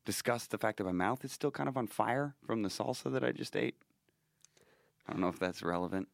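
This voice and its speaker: A man's voice: fairly low pitch, fairly quick, creaky, no echo, studio quality. A man hosting a casual podcast, talking through a cold with a stuffy, nasal voice and rambling, self-deprecating asides. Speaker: podcast host.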